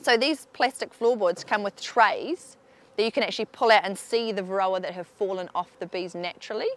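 A young woman giving a clear, explanatory beekeeping demonstration outdoors beside hives on a lapel mic. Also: mid-range voice, a little fast. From New Zealand. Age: young adult. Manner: clear explanatory, pointing things out. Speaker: beekeeping researcher. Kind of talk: instructional demonstration.

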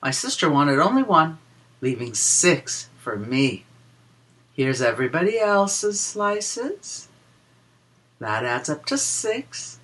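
A woman reads a children's counting book aloud, slowly and with lots of swooping pitch. Her voice is a little husky, and she explains things in a deliberate, sing-song teaching manner. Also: very animated, fairly bright tone. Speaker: children's story reader and teacher. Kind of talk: picture-book read-aloud with counting for preschoolers.

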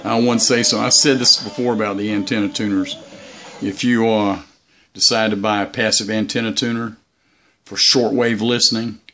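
A man talking casually as a hobbyist vlogger, halting and unhurried as he explains things. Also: on the low side, level tone, pushed and bright, a little hiss or hum. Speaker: shortwave radio hobbyist vlogger. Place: indoors, small room beside radio gear.

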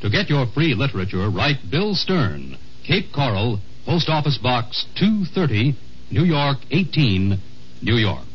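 A man's voice: medium pitch, very animated, unhurried, background noise. A man reading scripted 1950s radio announcements. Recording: muffled old radio recording.

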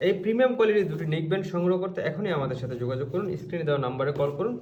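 A man's voice: on the high side, very fast, darkish tone, recorded from a distance, highs cut off. A man presenting a promotional product review.

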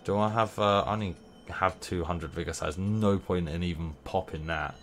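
Give me a low-pitched young man rambling to himself and his viewers as he plays, stuffy from a runny nose. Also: normal speed, fairly bright tone, soft background music. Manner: rambling, playful, talks to himself and the game. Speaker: gameplay streamer. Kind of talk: casual gameplay commentary. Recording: noisy game sound behind voice.

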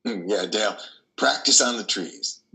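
An older man playing a compassionate, gentle priest in a play reading, measured and reassuring, with hesitant pauses. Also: fairly low pitch, lively intonation, gravelly, exaggerated voice, low-quality recording. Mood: gentle counsel. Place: indoor wood-trimmed room on a video call.

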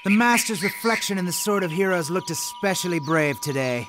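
High, wildly animated boastful panda hero, Po. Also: male voice, a little fast, loud music behind the voice. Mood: boastful, mock-heroic. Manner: self-aggrandizing, mock-epic one moment, flustered the next. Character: excitable big-hearted boastful panda kung fu fan. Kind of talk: animated game voice acting.

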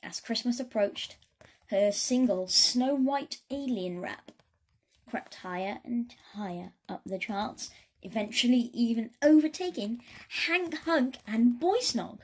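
Slow, high-voiced woman storyteller. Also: expressive. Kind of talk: expressive children's story read-aloud.

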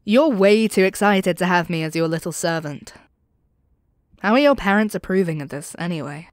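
A woman playing a cocky young commoner thief in an expressive, sardonic audio roleplay, speaking straight to the listener. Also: lowish voice, normal speed, dry recording, natural voice. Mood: teasing, sardonic. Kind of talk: audio roleplay acting, one-sided dialogue addressed to the listener.

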